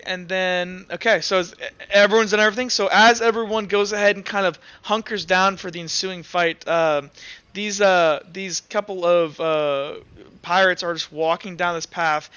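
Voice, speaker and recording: Bright, high-voiced male game master. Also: smooth, lo-fi sound. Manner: halting, informal, sweary. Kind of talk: casual improvised tabletop game narration.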